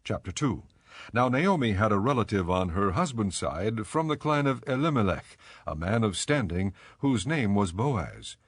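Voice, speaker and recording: Casting: male narrator for dramatized scripture, lowish pitch, measured and solemn, dry studio sound. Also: very animated, breathy edge, no room sound.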